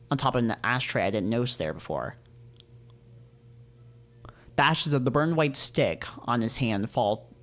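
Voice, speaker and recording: A man streaming a casual read-aloud of visual novels, playfully breaking off into jokey, questioning asides. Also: mid-range voice.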